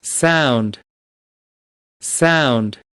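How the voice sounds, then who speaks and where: A woman announcing single vocabulary words slowly and deliberately. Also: rumbling low, fairly bright tone, clean tone, soft background music. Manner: slow, deliberate single-word reading. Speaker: word announcer.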